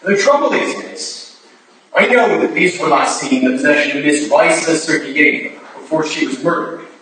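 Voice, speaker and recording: Far from the microphone in a very echoey hall, an actor plays a Victorian police officer. His voice is slightly high, with a measured, insistent courtesy suited to stage drama. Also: animated, fairly bright tone, a little hiss or hum. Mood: accusing.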